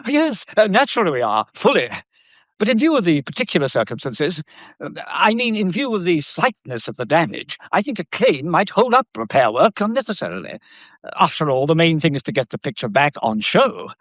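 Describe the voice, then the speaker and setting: A man's voice: on the high side, sing-song, a little fast, fairly bright tone, no echo. An actor with a British accent playing a nervy, evasive, fussily formal gallery man in a period radio drama, stammering and repeating himself. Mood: flustered, evasive. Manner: stammering, formal, insistent repetition. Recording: dull, muffled lo-fi audio.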